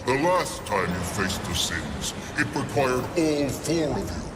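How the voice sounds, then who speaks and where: An actor playing a stern, imperious council spirit in a video game, solemn, commanding and portentous as it passes judgment. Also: male voice, mid-range voice, sing-song, a little slow, noisy background. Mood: grave, authoritative. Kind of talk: video game cutscene acting.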